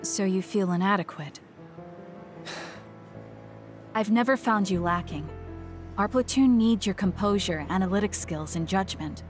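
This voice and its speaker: An actress playing a composed, blunt young soldier in an anime video game, speaking earnestly and firmly. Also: female voice, medium pitch, measured pace, long pauses, lightly acted. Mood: earnest, concerned. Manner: earnest, direct, firm. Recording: loud music under voice. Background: game soundtrack music.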